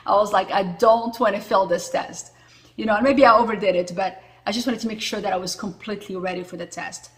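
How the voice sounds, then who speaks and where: A woman in her forties from Morocco, talking casually to camera as a vlogger, with a lot of filler words. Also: medium pitch. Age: 40s. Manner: chatty, with frequent fillers.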